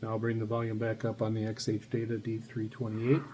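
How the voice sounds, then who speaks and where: A man giving a casual hands-on demonstration, talking haltingly as he thinks aloud while handling gear. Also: lowish voice, monotone. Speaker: radio hobbyist host. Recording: faint background noise.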